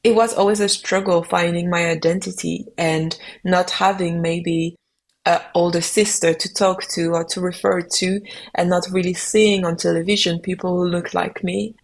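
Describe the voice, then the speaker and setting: Soft-toned reflective French-speaking woman. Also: on the low side, level tone, moderate pace, gravelly. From Belgium. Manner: reflective, searching for words with frequent ums. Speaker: musician guest. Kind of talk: podcast interview answers.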